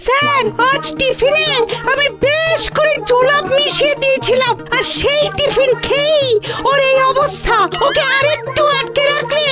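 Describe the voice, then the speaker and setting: A woman's voice: extremely high pitch, steady tone, normal speed, few pauses, over loud music. A woman voicing a confident, clever schoolboy in a cartoon who talks boldly. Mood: determined. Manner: bold, cheerful.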